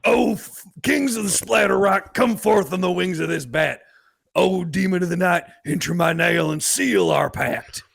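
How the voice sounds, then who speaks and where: Guy doing evil anime wizard w pro wrestler swagger, super gravelly n husky, taunting. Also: high voice, fairly bright tone, exaggerated voice. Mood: gloating menace. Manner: taunting, theatrical, drawn-out menace. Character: gloating evil male sorcerer with pro-wrestler bravado.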